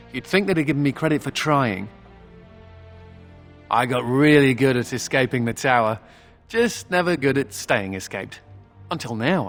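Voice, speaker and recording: An actor playing a wry, flippant runaway mage in a video game, with a sardonic, conversational manner. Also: male voice, mid-range voice, creaky, fairly bright tone. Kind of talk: video game character acting.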